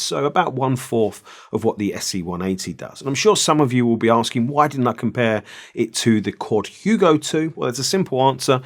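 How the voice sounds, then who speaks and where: A middle-aged man reviewing audio gear in a conversational, measured way, explaining things with personal asides. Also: mid-range voice. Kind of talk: product review to camera.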